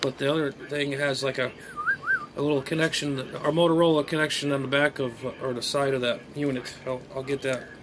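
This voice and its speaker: A man's voice: mid-range voice, level tone, fairly quick, fairly bright tone, rough recording. A middle-aged man talking casually and rambling, muttering to himself as he thinks aloud in an unboxing vlog, recorded on a phone in a small room. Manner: rambling, muttering to himself while handling items. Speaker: amateur radio hobbyist and vlogger. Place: small bedroom, indoors. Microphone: phone propped at arm's length. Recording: clear background noise, room ambience.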